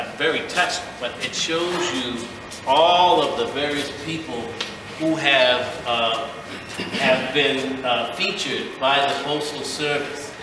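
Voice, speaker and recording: An older man from Massachusetts gives a semi-formal guest speech in a measured, deliberate way with hesitations. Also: medium pitch. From Massachusetts, USA. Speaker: guest speaker, retired teacher and community leader. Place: large echoey indoor event room. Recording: echoey hall, distant mic, background noise.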